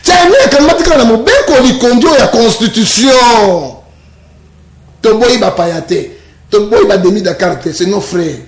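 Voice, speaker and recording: A very high-voiced Congolese man with a lot of creak in his delivery. He argues emphatically, swinging widely in pitch and repeating phrases for force. The room around him is echoey. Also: animated, moderate pace. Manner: emphatic, repetitive, rhetorical questions. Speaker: self-styled prophet interviewed as guest. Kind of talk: animated political and religious argument.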